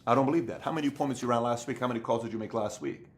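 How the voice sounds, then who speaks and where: A man's voice: mid-range voice, racing. Thirties man, motivational business coach, emphatic and repetitive, indoor sit-down to camera. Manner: emphatic, repetitive, acts out other people's voices. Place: indoor room with bookshelves.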